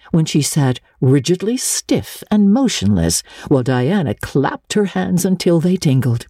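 Husky, expressive woman storyteller. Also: low voice, no room sound, clean studio sound. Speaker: narrator. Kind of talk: dramatic audiobook narration of classic literature.

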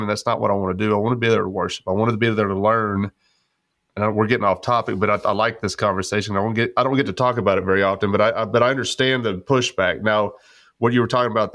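An American man with a fairly low voice hosts a podcast in a casual, folksy conversational style. The sound is dry and close, with very little room. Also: a bit bright, dry recording. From United States. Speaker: podcast host.

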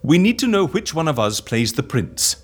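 Vain, excitable agency schemer for comedy audio drama: pompous, theatrical, hugely animated pitch. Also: male voice, normal speed. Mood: insistent excitement. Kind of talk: audio comedy fiction acting.

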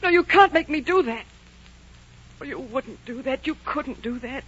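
A woman's voice: sits high, animated, moderate pace, a bit bright. A woman playing a passionate young innkeeper's daughter in love, fervent and melodramatic in 1940s radio drama style. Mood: desperate, pleading. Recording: muffled, noisy 1940s radio recording.

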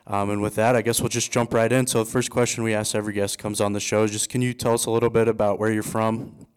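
A man hosting a sports podcast, chatty and relaxed, introducing and interviewing a guest. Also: on the low side, fast, smooth. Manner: relaxed, chatty, with frequent restarts and fillers. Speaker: podcast host.

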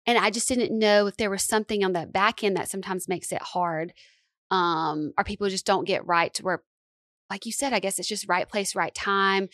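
A woman's voice: medium pitch, normal speed, a bit bright, no echo, studio quality. A young woman hosting a podcast, asking long, rambling questions with eager enthusiasm. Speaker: podcast host. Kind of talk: casual interview chat.

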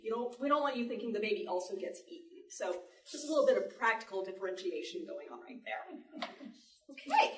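Woman explaining stuff to an audience, chatty, highish voice, echoey room, bit far from mic. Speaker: panel presenter, sound effects artist. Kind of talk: live demonstration to an audience, informal. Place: live panel in a public library room. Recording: echoey room, distant mic, background noise.

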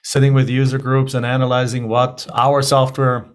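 A man's voice: medium pitch, flat delivery, normal speed, clear, resonant tone. A man in his forties from Germany with a German accent, a podcast guest talking casually and rambling through his story with restarts.

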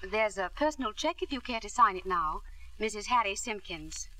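A formal, efficient secretary in a 1950s radio drama. Her voice is bright and crisp in tone, deferential, and set a little back from the microphone. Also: mid-range voice, animated, moderate pace, distant mic.

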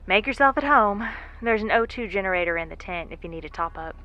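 A plucky, wry woman explorer stranded in space, with a bright, forward voice at a middling pitch. She mutters folksy asides in a sci-fi audio drama, and the sound is slightly dull. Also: animated, quick pace. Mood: dry, teasing.

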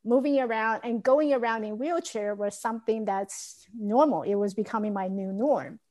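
A woman's voice: a little high, moderate pace, mellow. A woman from Taiwan telling her life story as an interview guest, earnest and candid. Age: adult. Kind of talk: personal story in a podcast interview.

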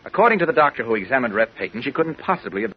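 An actor playing an earnest, urgent reporter in 1940s radio drama style, probing and explaining with some stammering. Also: male voice, mid-range voice, big pitch swings, very fast, lightly acted. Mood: earnest, explanatory. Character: earnest, urgent male reporter, insistent and quick-thinking. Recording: muffled vintage radio recording.

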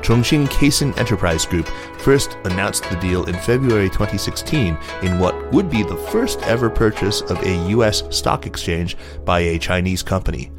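A man's voice: low voice, lively intonation, moderate pace, few pauses, over music. A man hosting a news podcast, reading business stories and introducing guests in a presenter's style. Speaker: podcast host and news reader.

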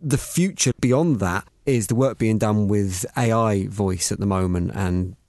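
A man's voice: on the low side, a little slow, darkish tone, no echo, clean studio sound. A man hosting a podcast interview, putting questions to his guests in a conversational style.

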